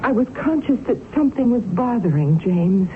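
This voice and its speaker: A woman's voice: mid-range voice, animated, moderate pace, natural voice. A genteel young woman of an old-fashioned romance , played by a radio actress, speaks with formal tenderness and devotion. The sound is a thin, phone-like old broadcast with some noise behind it. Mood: troubled. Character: devoted, genteel young fiancée, a general's daughter. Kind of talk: period radio drama acting. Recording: noisy, tinny old radio broadcast recording.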